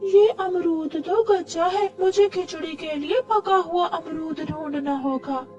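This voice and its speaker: A woman voicing an eager, friendly little male bird child in a cartoon story, pleading and repeating words excitedly. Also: very high-pitched, unhurried, muffled recording, pitched above the performer's own voice. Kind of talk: Hindi cartoon story acting. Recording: distant mic with music behind.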